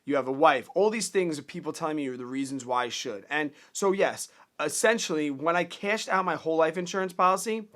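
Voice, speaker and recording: A man's voice: on the high side, fairly quick, few pauses, fairly bright tone. A man in his thirties giving casual, earnest personal finance advice straight to the camera, plainspoken and given to repeating words as he restarts. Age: 30s.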